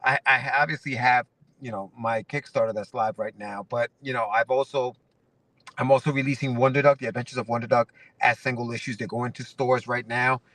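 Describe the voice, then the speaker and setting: Bright-voiced man in his forties, off-mic. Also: mid-range voice, steady tone, a little fast, far from the mic, slight background noise, rough recording. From Ecuador. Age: 40s. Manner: rambling, many restarts and fillers. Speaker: guest comic writer. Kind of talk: casual interview chat.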